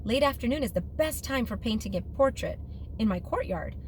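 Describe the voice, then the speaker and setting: A woman reading a storybook part as a thoughtful, earnest man who paints, in a deliberate, emphatic manner. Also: fairly high pitch, expressive, a little hiss or hum, natural voice. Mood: insistent. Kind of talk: storybook read-aloud.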